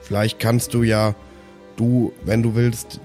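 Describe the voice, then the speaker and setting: A man's voice: medium pitch, level tone, takes it slowly, a little mellow. A man playing a tabletop role-play game in casual, improvised in-character chat. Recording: background music under the voice.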